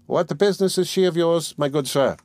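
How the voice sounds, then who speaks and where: A man voicing a severe, stingy old woman pawnbroker who talks in a curt, sharp, haggling way. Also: fairly high pitch, brisk, no echo, clean studio sound, voice pushed up, close to an everyday voice.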